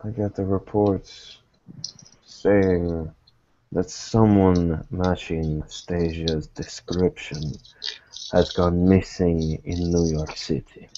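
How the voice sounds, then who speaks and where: A man acts out a guarded investigator from a secretive order in a tabletop game. His voice is low and dark, with slow, formal, carefully weighed phrasing. The recording is somewhat dull and lo-fi. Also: flat delivery, slight background noise. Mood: grave, deliberate. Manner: measured, formal, deliberate phrasing. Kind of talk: in-character tabletop roleplaying.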